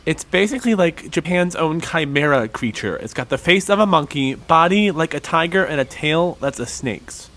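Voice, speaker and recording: A man playing a thoughtful young folklore buff in an audio drama, explaining things in a measured, conversational way. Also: on the high side, lively intonation, a bit bright.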